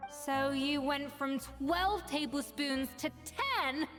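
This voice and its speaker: A woman voicing an exacting, haughty young master chef in a video game, crisp and cutting. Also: high voice, takes it slowly, big-room sound, over music. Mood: dry disdain.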